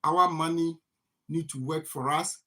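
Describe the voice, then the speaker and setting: A man's voice: a little high, fairly even intonation, a little slow, pushed and bright. Man, repeating rhetorical questions. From Ghana. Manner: repetitive, poses rhetorical "what?" questions and answers them. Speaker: farming channel host. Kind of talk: motivational finance talk to camera.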